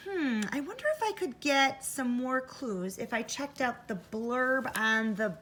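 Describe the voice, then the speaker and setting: A woman's voice: high voice, animated, measured pace, fairly bright tone. A woman teaching first grade, giving an interactive read-aloud for children, thinking aloud expressively and addressing young listeners directly.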